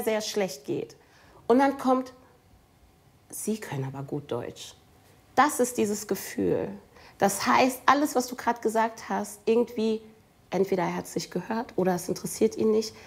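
A woman interviewee from Germany with a mid-range voice tells her own experience. She is emphatic, pauses for weight and voices the quotes of others. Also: mid-range voice, vocal fry. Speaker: interviewee, local politician. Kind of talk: personal account in an interview.

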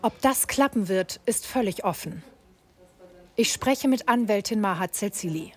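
A woman's voice: medium pitch, animated, measured pace. A woman reporter reading scripted narration for a documentary news report.

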